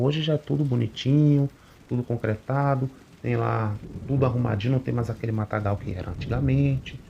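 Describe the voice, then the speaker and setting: A man narrating a first-person supernatural account in a conversational, unhurried way, often adding little tag questions. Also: mid-range voice, vocal fry, slight background noise. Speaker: channel narrator reading a listener's account. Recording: muffled recording with faint rain behind.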